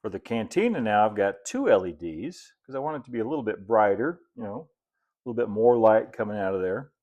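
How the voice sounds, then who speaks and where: A middle-aged American man giving a casual hands-on tutorial, unhurried and folksy, thinking aloud as he works. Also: lowish voice, expressive, dull audio. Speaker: host, model railroad builder. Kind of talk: casual hands-on tutorial talk while working. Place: indoor hobby workshop at a cluttered workbench and layout.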